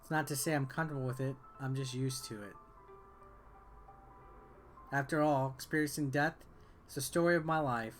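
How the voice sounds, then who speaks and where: A man's voice: mid-range voice, normal speed, long pauses. A man doing casual, offhand gameplay commentary and reading game text aloud, with game music behind him. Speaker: game reviewer and video host.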